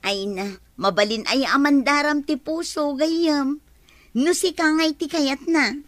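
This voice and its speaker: A woman's voice: high voice, a little slow, no room sound, clean studio sound. A woman playing a chatty, curious young woman who gossips with a friend. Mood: teasing. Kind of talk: radio drama acting.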